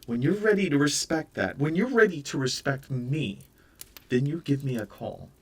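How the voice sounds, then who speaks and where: A man's voice: medium pitch, expressive, moderate pace, vocal fry, breathy edge, voice pushed up. A man voicing a stern, exasperated young mother who scolds firmly and reproachfully. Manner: firm and reproachful. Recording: voice a bit far from the mic.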